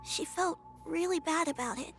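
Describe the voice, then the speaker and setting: A woman voicing a polite, earnest young girl in a video game, speaking in a soft, halting, sincere way. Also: extremely high pitch, soft background music. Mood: timid, earnest.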